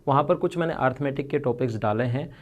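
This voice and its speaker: A man's voice: mid-range voice, fast talker. A male maths teacher with a strained throat, speaking earnestly and directly to his students in an online video lesson.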